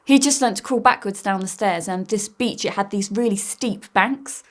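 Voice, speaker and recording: A woman's voice: medium pitch, normal speed, close to an everyday voice. An actress playing a tender, wonderstruck mother remembering her child in a stage monologue. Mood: tender wonder.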